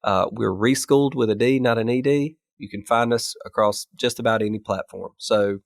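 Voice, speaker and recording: A man co-hosting a podcast, talking in a relaxed, explanatory way while getting over a chest cold that leaves his voice scratchy. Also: medium pitch, moderate pace, gravelly, dry recording, studio quality. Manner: conversational, explanatory, laughs easily. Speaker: podcast co-host. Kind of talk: casual podcast chat.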